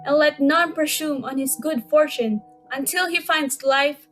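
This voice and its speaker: A woman's voice: high-pitched, unhurried, fairly bright tone, faint music behind. A woman playing a solemn tragic chorus, declaiming to the citizens in a classical drama reading.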